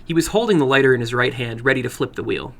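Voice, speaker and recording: Male narrator, horror fiction, dramatic reading, gravelly edge, bright forceful tone. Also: medium pitch, animated, fast.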